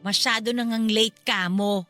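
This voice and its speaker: Bright, chatty Filipina neighbor. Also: female voice, a little high, normal speed, soft background music. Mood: curious, teasing. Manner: animated, gossipy, teasing. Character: chatty teasing middle-aged Filipina neighbor, widowed home cook. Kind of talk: radio drama dialogue.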